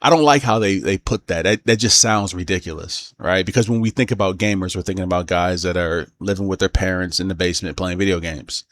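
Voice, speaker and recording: A man with a low voice talks through his opinions in a casual commentary style. His delivery is halting and unscripted, and he often backs up to correct his own wording. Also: a bit bright.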